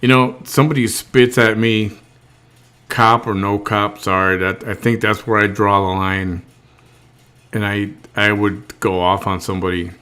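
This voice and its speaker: Low-voiced man, halting casual talk. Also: fairly even intonation, sharp tone, clear, resonant tone. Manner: halting, repeats words while thinking aloud. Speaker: host. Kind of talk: casual live-stream chat.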